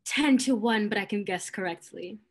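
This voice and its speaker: A woman plays a sharp-tongued young lady of period stage comedy. She is proud and disdainful, speaking at a medium pitch with cool, pointed theatricality. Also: normal speed, breathy edge, natural voice. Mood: cool, mocking. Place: indoors at home on video call.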